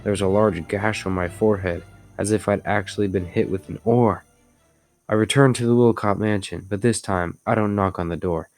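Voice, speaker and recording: A man's voice: on the low side, steady tone, moderate pace, darkish tone, faint music behind, lightly acted. A man acting as the haunted narrator of a gothic audio drama, telling it in the first person, grave and suspenseful. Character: haunted, desperate male narrator of a gothic tale. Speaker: narrator and protagonist.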